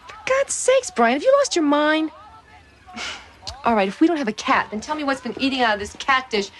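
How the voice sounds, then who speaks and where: High-pitched frazzled suburban mom. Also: female voice, very animated, moderate pace. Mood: agitated, indignant. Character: frazzled suburban wife and mother, increasingly indignant. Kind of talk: naturalistic television drama acting.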